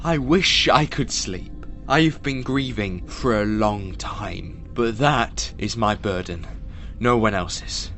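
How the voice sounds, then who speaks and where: A man's voice: fairly low pitch, slow, a bit bright, soft background music. A man playing a burdened, grieving time traveller in an audio drama, speaking with earnest, weighty emphasis. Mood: anguished, defiant.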